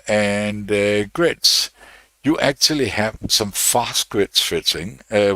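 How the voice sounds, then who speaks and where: Danish man, slow unhurried tutor, low voice, dry close sound. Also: no echo. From Denmark. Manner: relaxed, unhurried, many fillers and restarts. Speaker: electronics channel host. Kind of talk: live-streamed PCB design tutorial.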